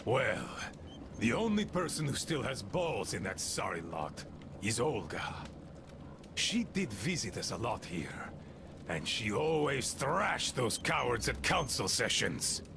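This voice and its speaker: A bitter, crippled outpost leader in a video game, ranting with mocking scorn. His gravelly, rough voice swings up and down in pitch as he drags out his words slowly. Clear ambient game noise hums behind him. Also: very animated. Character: embittered wounded male outpost leader.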